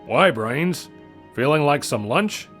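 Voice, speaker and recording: A man's voice: a little high, animated, slow pace, a bit bright. Commanding older patriarch of a rescue outfit done by a man, authoritative, audio adventure story, light music bed. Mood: easygoing. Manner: firm, authoritative. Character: commanding, older father leading a rescue team.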